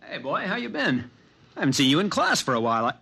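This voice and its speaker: A man's voice: on the high side, huge pitch swings, fast. A man playing a breezy, casual young college friend in a 1950s radio drama. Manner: casual, chummy. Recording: noisy old radio recording.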